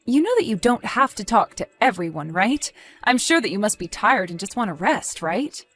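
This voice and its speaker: A woman's voice: medium pitch, sing-song, moderate pace, fairly bright tone, soft background music. A woman acting an evasive wife in a dramatized story, sweet but easily flustered and indignant, with theatrical expression. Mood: friendly. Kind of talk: dramatized text-message story narration.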